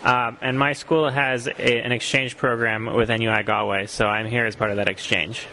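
A man's voice: mid-range voice, little pitch movement, moderate pace, bright, forceful tone, a little hiss or hum, poor audio. A young man from Costa Rica, an exchange student answering radio interview questions thoughtfully, with frequent ums and restarts. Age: young adult.

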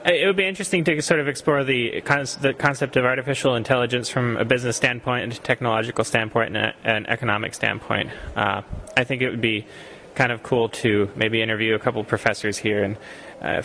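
A man's voice: medium pitch, fairly even intonation, brisk, sharp tone, a little hiss or hum, rough recording. A young man from Costa Rica, an exchange student answering radio interview questions thoughtfully, with frequent ums and restarts. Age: young adult.

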